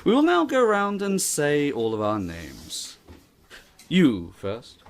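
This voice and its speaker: A man's voice: on the high side, wildly swooping pitch, takes it slowly, full character voice. Man playing ominous teacher, wildly swooping delivery. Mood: ominous, emphatic. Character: stern, menacingly eccentric male college teacher. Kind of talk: audio drama acting.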